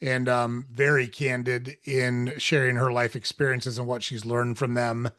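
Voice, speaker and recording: Male podcast host, mid-pitched voice, dry close sound, loose and chatty with plenty of fillers. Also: flat delivery, measured pace, a bit bright, dry recording. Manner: friendly, rambling, lots of fillers. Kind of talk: casual podcast interview.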